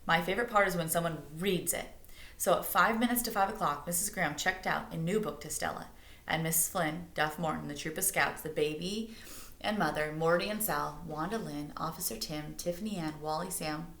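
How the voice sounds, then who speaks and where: A woman's voice: low-pitched, normal speed, gravelly, fairly bright tone. A woman reading a children's story aloud expressively as a storyteller, recorded in an echoey room. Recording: roomy, echoey indoor sound.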